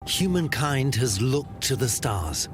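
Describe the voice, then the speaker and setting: A man narrating a science documentary in a measured, dramatic, rhetorical style. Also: mid-range voice, big pitch swings, background noise.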